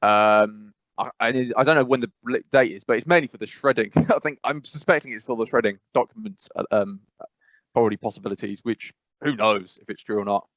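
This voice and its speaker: A man's voice: fairly low pitch, fairly quick, fairly bright tone, dry recording. A man from England co-hosting a casual podcast, rambling and hesitant with many restarts. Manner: rambling, hesitant, many fillers and restarts, laughs while talking. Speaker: podcast co-host. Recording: dull dry lo-fi mic.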